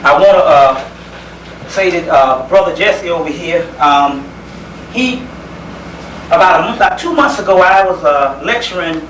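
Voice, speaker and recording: High-voiced Southern man lecturing from far off. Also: animated, a bit bright. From South Carolina, United States. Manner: folksy, conversational, hesitant pauses with repeated phrases. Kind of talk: informal community lecture. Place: indoor lecture gathering. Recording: roomy, distant microphone, faint background noise.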